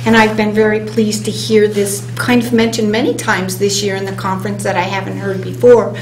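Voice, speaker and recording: A woman on a hypnosis conference panel, speaking earnestly and reading an inspirational passage aloud, slowing down on key words. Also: medium pitch, flat delivery. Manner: earnest, inspirational, speeds up and slows for emphasis. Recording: roomy hall sound, faint hum.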